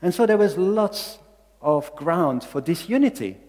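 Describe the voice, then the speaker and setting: A man's voice: high voice, animated, unhurried, darkish tone. Belgian-accented man preaching in a reverberant hall. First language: not English. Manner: earnest, repeats key phrases for emphasis. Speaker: pastor. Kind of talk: sermon.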